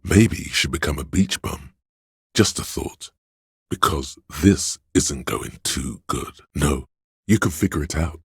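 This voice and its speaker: A hedonistic tempter living inside someone's head in a video game. The voice is rock-bottom deep, thick with gravel and heavily husky. It coaxes slyly and indulgently toward every vice. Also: male voice, no room sound, studio quality, heavily acted. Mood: coaxing, sly. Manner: sly, indulgent, coaxing. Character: seductive hedonistic inner voice craving drugs and vice. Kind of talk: video game character voice lines.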